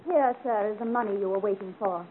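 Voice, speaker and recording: A woman's voice: medium pitch, brisk, natural voice. An actress playing a spirited young noblewoman with earnest, refined, theatrical delivery in a 1950 radio serial. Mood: polite, pleading. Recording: tinny old 1950 radio recording, hiss.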